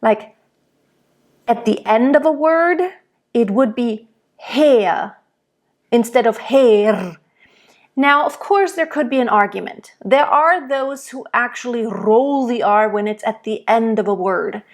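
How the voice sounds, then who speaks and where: A woman from Germany, a vocal coach explaining diction in a slow, deliberate lesson. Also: fairly high pitch.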